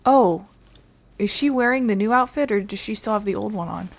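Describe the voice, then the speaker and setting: A woman's voice: medium pitch, fairly quick, vocal fry. A woman game streamer giving casual, rambling, chatty commentary as she plays. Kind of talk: casual let's play commentary.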